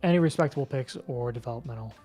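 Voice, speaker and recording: A man in a casual livestream runs through his advice in brisk, clipped phrases. His voice sits at an ordinary middle pitch. Faint music hums behind him. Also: dark tone. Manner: brisk, listing terse advice. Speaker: co-host.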